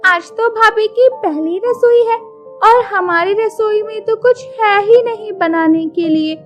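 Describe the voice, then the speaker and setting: A very high-pitched, plaintive young village girl acted by a woman in a cartoon moral story. She sounds childlike and anxious, with music playing behind her. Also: slow, highs cut off. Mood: worried. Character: worried young village girl, the sister-in-law. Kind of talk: animated moral-story acting.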